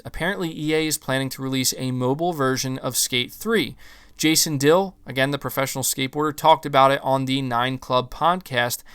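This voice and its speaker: A young man hosting a gaming podcast, ranting in casual talk with some swearing, his voice starting to go hoarse. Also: mid-range voice, sharp tone. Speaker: podcast host.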